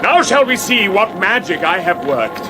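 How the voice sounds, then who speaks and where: A vainglorious pretender from a radio fantasy spoof. The high, animated voice declaims with archaic, condescending bombast, music behind. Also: male voice, normal speed. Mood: scornful, imperious. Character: vainglorious, imperious male fantasy pretender hero. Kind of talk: comic fantasy radio drama.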